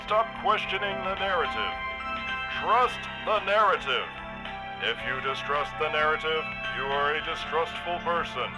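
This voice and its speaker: Smug state propagandist: highish voice, lively swings, patronizing emphasis, music behind. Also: male voice, low-quality recording. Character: authoritarian government propaganda spokesman, smugly reassuring. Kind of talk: scripted propaganda announcement.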